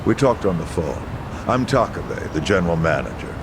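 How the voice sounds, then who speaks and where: A businesslike manager from a video game, with a deep voice that moves widely in pitch. He is formal, polite and firm, over rumbling traffic noise. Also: big pitch swings, moderate pace. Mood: formal, apologetic. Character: businesslike male care home manager, formally apologetic.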